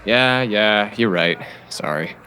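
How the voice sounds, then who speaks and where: A disgruntled high school student played by an actor in an audio drama. The voice is animated, with big pitch swings as the student grumbles. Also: slow. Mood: disgusted. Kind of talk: scripted audio drama acting.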